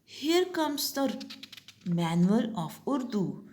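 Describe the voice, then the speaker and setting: A woman's voice: fairly high pitch, very animated, darkish tone, a little hiss or hum. A young woman teacher with a Pakistani English accent, patiently walking young pupils step by step through their summer tasks. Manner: slow, patient, step-by-step instruction.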